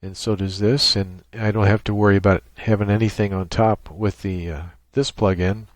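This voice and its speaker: A man giving an unscripted software tutorial, explaining each step in a matter-of-fact, technical way. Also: on the low side, fairly even intonation, normal speed, no echo. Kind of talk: unscripted screen-share walkthrough. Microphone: USB microphone with gate and compressor.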